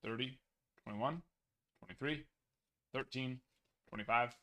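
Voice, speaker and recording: A man's voice: mid-range voice, a little slow. Casual man reading card numbers. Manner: casual, reads numbers in a steady list. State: losing his voice. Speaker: card break host. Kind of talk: live card break chat.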